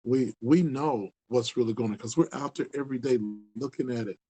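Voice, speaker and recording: A man's voice: mid-range voice, a little fast, dark tone, husky, low-quality recording. Earnest older community leader, a man in his sixties with an Upper Midwest accent, talking loosely and repeating points. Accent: Upper Midwest American. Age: 60s. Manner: earnest, rambling, repeats himself for emphasis. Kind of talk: casual talk to camera.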